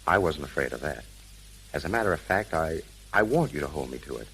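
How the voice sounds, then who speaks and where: An actor playing a suave, guarded gentleman suitor in a 1930s radio drama. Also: very deep voice, expressive, fairly quick, exaggerated voice. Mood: earnest. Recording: hissy, crackling 1930s radio recording.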